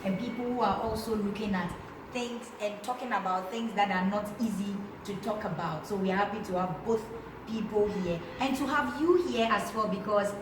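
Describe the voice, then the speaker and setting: Woman hosting a science chat, friendly, kinda far from the mic in a boomy room with noise. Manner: friendly, laughs between remarks. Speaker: moderator of a science cafe. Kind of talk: casual event moderation. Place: room with a seated group. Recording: echoey room, distant mic, background noise.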